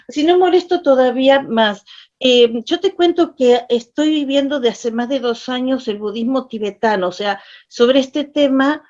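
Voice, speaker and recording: A woman's voice: sits high, measured pace, a little mellow. A woman from Argentina with an Argentine Spanish accent, chatting casually on a video call and searching for her words as she asks about images. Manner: rambling, hesitant, searches for words. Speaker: participant in online Dharma session. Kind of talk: casual conversation, asking questions. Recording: dull lo-fi video call audio.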